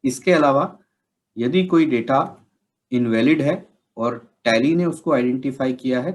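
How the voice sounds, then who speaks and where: A man with an Indian accent explains software steps as a trainer, in measured, instructional phrasing. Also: mid-range voice. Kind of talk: instructional software tutorial narration.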